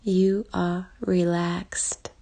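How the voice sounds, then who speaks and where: A woman leading a guided body scan meditation in a calm, soothing way, speaking in short soft phrases with long pauses and a breathy edge. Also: on the low side. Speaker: meditation guide, life coach. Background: background music.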